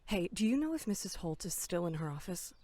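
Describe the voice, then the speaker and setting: A woman's voice: lowish voice, very animated, brisk, mellow, dry recording. A woman playing an earnest, serious young female camp counselor in a horror audio drama, polite but insistent.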